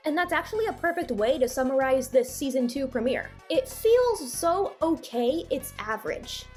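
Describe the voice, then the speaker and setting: High-voiced woman giving an opinionated review. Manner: conversational, opinionated, openly exasperated. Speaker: video reviewer. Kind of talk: cartoon review commentary with live reactions. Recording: voice-over with background music. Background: music.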